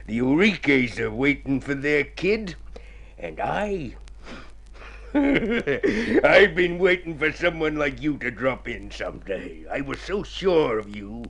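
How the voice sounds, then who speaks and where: An actor playing a crooked, scheming consul in a golden age radio drama, theatrical, wheedling and pleased with himself. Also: male voice, on the high side, sing-song, slow, a bit bright, breathy edge, exaggerated voice. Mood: sly, persuasive. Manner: wheedling, theatrical, self-satisfied, chuckling schemer. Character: scheming, crooked male consul, smooth-talking and gleeful.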